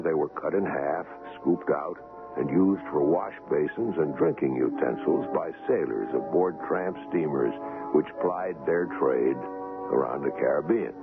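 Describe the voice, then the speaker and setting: An actor playing an older doctor who narrates his memories in a measured way for a radio drama. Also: rumbling low, vocal fry, exaggerated voice. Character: older male doctor, reminiscing, measured storyteller. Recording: tinny old radio broadcast with music.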